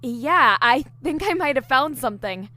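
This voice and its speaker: A woman's voice: high voice, expressive, normal speed, bright, forceful tone. A woman playing a friendly, earnest young investigator in an audio drama. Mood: earnest, focused. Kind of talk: audio fiction acting.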